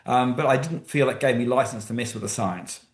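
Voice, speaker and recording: A man's voice: mid-range voice, a little fast, roomy sound. A man with an Australian accent, speaking as a guest author in a webinar, thoughtful and hesitant with many fillers. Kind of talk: webinar conversation. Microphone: video call.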